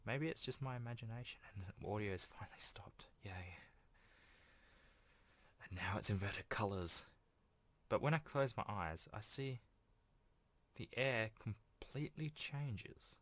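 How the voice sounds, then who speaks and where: A man's voice: lowish voice, unhurried, long pauses, fairly bright tone. A man reading a visual novel aloud with casual, rambling, dry asides as a gaming host. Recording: music behind the voice. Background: game music.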